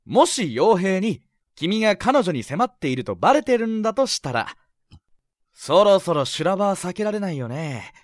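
A man acts out a confident, scheming high school senior in a romantic-comedy audio drama. He talks smoothly and teasingly with very animated intonation. The recording is close and dry. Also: moderate pace, no echo. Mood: sly, persuasive. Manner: smooth-talking, playful, insinuating.

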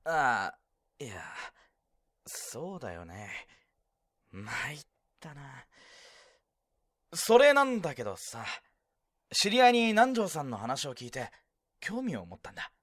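A woman playing an eager, eccentric high-school boy with a theatrical, glib manner in an anime-style comedy voice drama. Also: wildly swooping pitch, slow, long pauses, a bit bright, full character voice. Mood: sheepish. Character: eager, eccentric teenage schoolboy club founder.

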